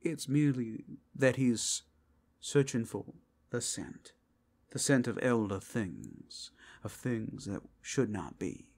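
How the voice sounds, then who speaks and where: Wry male tavern regular played by a man: gravelly, casual, dryly impatient, for an ASMR fantasy roleplay. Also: mid-range voice, animated, slow pace, a little mellow, heavily acted. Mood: wry, explaining. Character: wry, grudging male bar and brothel associate.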